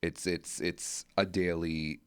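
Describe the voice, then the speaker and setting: A man's voice: low-pitched, flat delivery, slow, clear, resonant tone, no echo. A man from New York, a podcast guest, chatting casually about himself with dry, wry humour. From New York State (Brooklyn, upstate). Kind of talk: casual chat, personal recollection.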